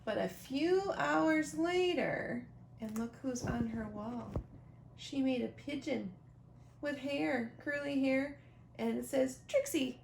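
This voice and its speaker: A woman reading a children's picture book aloud expressively, pausing to talk directly to young listeners about the pictures. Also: sits high, creaky. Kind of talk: animated children's storybook read-aloud with asides. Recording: roomy echo, faint background noise.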